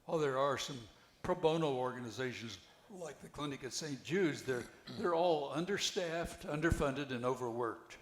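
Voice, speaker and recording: An older man reading the part of an earnest, helpful widower in a play, with a halting delivery. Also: medium pitch, slight background noise, lightly acted. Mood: earnest, concerned. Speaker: amateur actor reading a play. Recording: echoey, roomy amateur recording.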